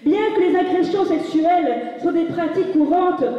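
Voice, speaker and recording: A high-voiced woman reads texts aloud in a slow, declamatory way. Her voice rings through a large hall with a long reverb, picked up from far off. The recording sounds dull and muffled. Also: mellow. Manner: declamatory, measured. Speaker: reader at a musical reading. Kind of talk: dramatic reading of texts. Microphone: distant from the mic.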